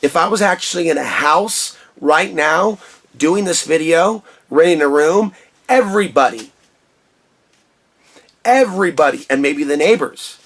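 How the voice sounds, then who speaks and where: A man with a big, booming voice that sits a touch high holds forth to camera in a personal vlog. He rants with conviction, recorded in a small insulated shed studio. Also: animated, measured pace, fairly bright tone. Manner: impassioned, ranting. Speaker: vlogger.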